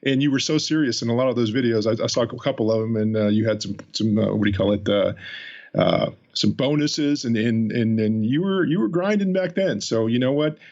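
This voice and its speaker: A man interviews his guest and drifts from thought to thought in a loose, teasing way. His voice sits at a middling pitch. It comes through a slightly muffled, dull-sounding remote connection. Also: a little fast, a little mellow. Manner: rambling, teasing. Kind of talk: casual conversation.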